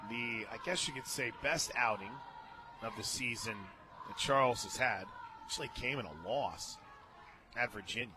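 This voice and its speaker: A man doing live play-by-play softball commentary on the radio, calling each play in short clipped phrases. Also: mid-range voice, normal speed. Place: outdoor ballpark radio booth. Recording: outdoor crowd noise behind the voice.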